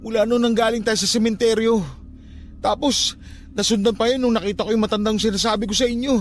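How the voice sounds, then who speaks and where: A man's voice: high voice, fairly even intonation, quick pace, a little hiss or hum, close to an everyday voice. An actor playing a frightened, stubborn young man haunted by a ghost in a radio drama, defensive and heated.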